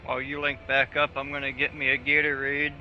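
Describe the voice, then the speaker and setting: A man's voice: mid-range voice, monotone, fairly quick. A man streaming a video game with a friend, talking casually with lots of swearing and jokes. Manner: laid-back, profane, joking. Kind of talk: casual livestream chat. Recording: slightly dull audio with game music.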